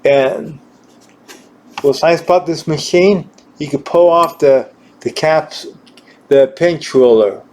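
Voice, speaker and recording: Slow, halting man demonstrating gear. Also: fairly high pitch, a little mellow, slight background noise. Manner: halting, searching for words, repeating himself. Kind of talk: informal hands-on equipment demonstration.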